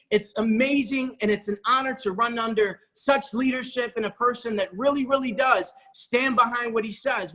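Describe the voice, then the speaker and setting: A man's voice: very high-pitched, bright, forceful tone. A man giving an enthusiastic sales presentation, eagerly addressing his listeners as folks and often restarting with repeated words. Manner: frequent repeated-word restarts. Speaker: presenter pitching an investment opportunity.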